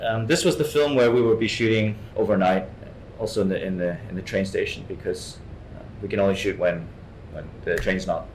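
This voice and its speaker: Male guest actor from Hong Kong, low voice, halting and repetitive in informal talk, roomy echo. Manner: halting, repeats words. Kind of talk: informal artist talk, answering questions. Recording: echoey room, faint rumbling noise.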